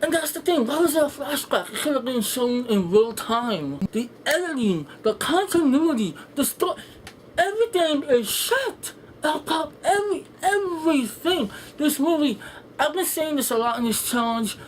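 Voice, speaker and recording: A woman's voice: high voice, expressive, normal speed, slight background noise. A woman giving an unscripted, ranting movie review in an exasperated, emphatic, sweary way. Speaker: film reviewer and host.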